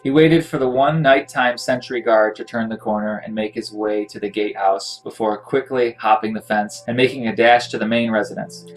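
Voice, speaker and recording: A man's voice: on the low side, normal speed, hardly any pauses, low-quality recording. A man narrating a scripted horror story as the game master of a tabletop role-play. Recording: music playing behind the voice.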